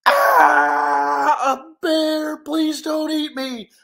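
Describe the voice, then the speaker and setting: Guy doing confused kid-adventure hero, stammery and startled, pitch jumping around. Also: expressive, slow, creaky, full character voice. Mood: terrified. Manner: stammering, incredulous questioning. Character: bewildered, easily startled young hero of children's adventure. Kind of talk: animated children's storybook read-aloud.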